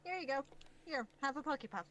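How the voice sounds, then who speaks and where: A woman's voice: sits high, animated, fast talker, pushed and bright, slight background noise. A woman roleplaying casually in an online game, switching between in-character chat and narrating actions. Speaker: roleplay player. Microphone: voice chat in a virtual reality game.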